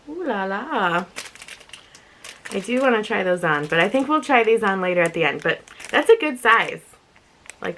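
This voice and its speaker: A woman with a slightly raspy voice, chatting casually and thinking aloud as she presents an unboxing video with giggly asides. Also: a little high, a bit bright, slight background noise, low-quality recording. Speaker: unboxing and review vlogger.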